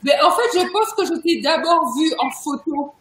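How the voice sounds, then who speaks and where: A woman's voice: very high-pitched, lively intonation, moderate pace, fairly bright tone, reverberant. A woman hosting a casual video interview, chatty and emphatic, laughing often. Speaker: interview host.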